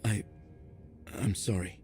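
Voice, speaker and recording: Dazed young apprentice, very slow male actor. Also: a little mellow, faint music behind, close to an everyday voice. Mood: sheepish. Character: dazed, lovesick young smith's apprentice, meek. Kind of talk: video game character dialogue.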